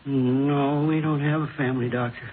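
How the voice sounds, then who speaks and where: An actor playing a dazed, grieving elderly widower in a halting, plaintive way, in an old radio drama. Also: male voice, medium pitch, steady tone, lightly acted. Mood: weary, subdued. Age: older. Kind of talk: 1940s-50s radio drama acting. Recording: noisy, phone-like old radio recording.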